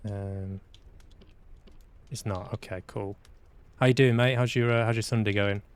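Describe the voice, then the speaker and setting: A man's voice: lowish voice, fairly even intonation, a little slow, clean tone. Mancunian man casually streaming a game. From Manchester, UK. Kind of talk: casual live game commentary, reading prompts aloud. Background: faint game music.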